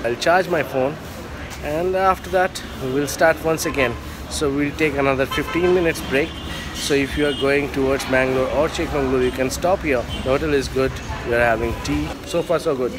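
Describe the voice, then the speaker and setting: A man's voice: mid-range voice, moderate pace, over music. A man in his thirties with an Indian English accent, chatting into a phone camera held at arm's length as a travel vlogger. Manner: chatty, talks straight to a handheld camera. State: tired near the end. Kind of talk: casual travel vlog. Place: outdoors by roads, in a car and in hotel rooms. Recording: outdoor traffic noise.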